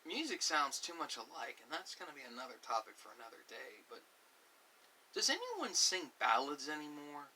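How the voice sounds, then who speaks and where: A man's voice: fairly high pitch, huge pitch swings, normal speed, pushed and bright. A man rambling informally in an ASMR music talk, musing and opinionated, often drifting into asides. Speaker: host of an ASMR channel. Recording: distant mic with hiss.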